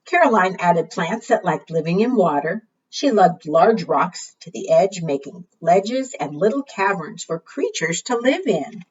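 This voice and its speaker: A woman leading a preschool storytime, reading aloud and talking to young children in an expressive, deliberate, teacherly way. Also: on the low side, a little hiss or hum. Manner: asks the young listeners frequent simple questions. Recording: muffled, distant microphone.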